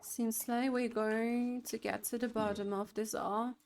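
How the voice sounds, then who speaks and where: A woman's voice: fairly high pitch, level tone, unhurried, darkish tone, soft background music. A woman giving casual reaction commentary as she plays through a game story. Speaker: gaming reaction video creator.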